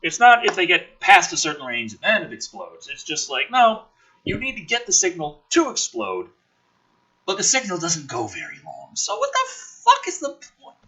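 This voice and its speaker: Male script reader, very forceful and sharp, husky tone, big pitch swings, sarcastic and sweary. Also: huge pitch swings, normal speed, low-quality recording. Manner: sarcastic, exasperated, profane; theatrical delivery with sudden emphasis. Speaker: host reading and mocking a film script. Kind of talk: dramatic script reading with sarcastic running commentary.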